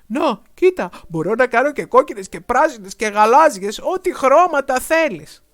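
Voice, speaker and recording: A woman voicing a shy, gentle dragon who blows bubbles, speaking softly. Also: medium pitch, sing-song, dry recording, natural voice. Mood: eager. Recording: soft music underneath.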